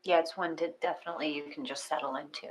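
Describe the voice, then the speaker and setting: A woman co-hosting in casual chat, speaking gently in short, unhurried remarks. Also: deep voice, steady tone, poor audio. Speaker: co-host of live meditation sessions. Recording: noticeable background noise, room ambience.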